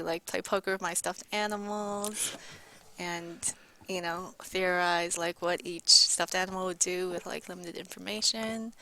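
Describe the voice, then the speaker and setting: A woman in her thirties from Canada talks casually about herself as an interview guest. Her voice sits a little low with a gravelly fry. She speaks in a relaxed way with plenty of fillers. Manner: relaxed, many fillers, laughs easily.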